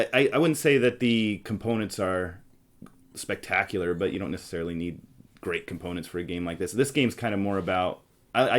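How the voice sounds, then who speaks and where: Casting: male tabletop podcast host, fairly low register, conversational and talkative. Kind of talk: casual board game chat.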